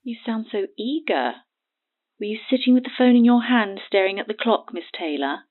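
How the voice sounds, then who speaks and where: A woman's voice: on the high side, expressive, normal speed. An actress playing a cagey, mocking woman who needles her listener in a naturalistic audio drama, heard over a phone filter. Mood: needling. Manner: haughty, needling, sardonic. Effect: phone line filter. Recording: tinny narrow phone line.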